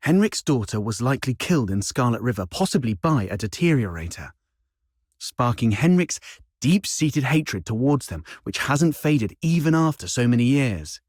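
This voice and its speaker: An actor playing a worldly, streetwise schemer in a video game cutscene, with a wry, conversational way of talking. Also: male voice, mid-range voice, darkish tone, no echo, studio quality.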